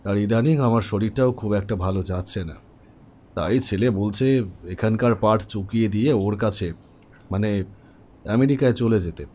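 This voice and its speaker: An actor voicing an elderly, resigned widower in a horror cartoon, gently reflective. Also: male voice, lowish voice, moderate pace, long pauses, close to an everyday voice. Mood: wistful. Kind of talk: Bengali horror cartoon dialogue.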